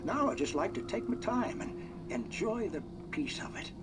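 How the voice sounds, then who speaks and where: An actor playing a genial, easygoing older small-town doctor being interviewed on radio in a video game. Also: male voice, high-pitched, big pitch swings, normal speed. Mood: genial, folksy. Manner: folksy, chuckling, easygoing. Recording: clear music behind the voice.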